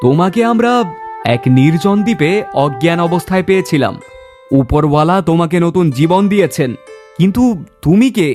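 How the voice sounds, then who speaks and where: A man's voice: a little high, expressive, normal speed, darkish tone, soft background music, natural voice. A man playing a brave, kind and commanding king in a fairy-tale cartoon. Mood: reassuring.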